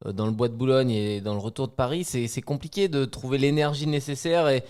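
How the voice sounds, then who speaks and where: A man's voice: medium pitch, fairly even intonation, brisk, a little mellow, smooth. A man in his thirties giving casual running commentary as a podcast guest, explaining things informally and building on his phrases as he goes. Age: 30s. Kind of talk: casual chat, analytical commentary.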